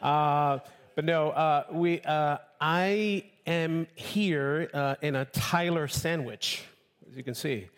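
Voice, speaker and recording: A man in his late forties from Honduras, a visiting preacher giving an informal, conversational sermon, halting at times and repeating phrases for emphasis. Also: fairly high pitch, clear, resonant tone. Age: late 40s. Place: large church room, preaching to congregation. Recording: echoey hall, long reverb.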